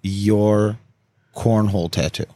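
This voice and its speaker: Fairly low male voice, podcast co-host, casual joking banter. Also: steady tone, slow pace, mellow.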